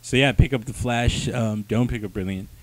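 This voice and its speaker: A man's voice: mid-range voice, moderate pace, a little hiss or hum. A man co-hosting a review show in casual, joking banter. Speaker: co-host of a comic review show.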